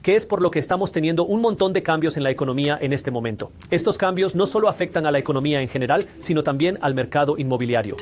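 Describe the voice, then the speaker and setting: A man hosting a finance channel, explaining things directly to the viewer in a rapid, no-nonsense delivery. His voice sits somewhat high for a man and moves along quickly from point to point. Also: darkish tone, slight background noise. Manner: brisk, explanatory, speaks straight to viewers.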